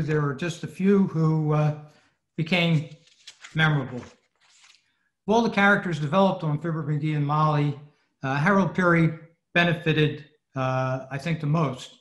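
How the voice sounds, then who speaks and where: Man, club presenter, slightly high voice, halting delivery, informal lecture, echoey video-call sound. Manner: halting, hesitant. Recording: roomy video-call audio.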